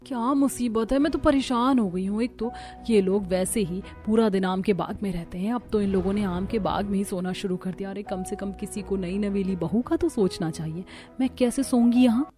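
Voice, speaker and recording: A woman's voice: mid-range voice, moderate pace, hardly any pauses, dark tone, soft background music, natural voice. A woman voicing a fussy young daughter-in-law who complains indignantly in a Hindi cartoon story. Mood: fretful, complaining.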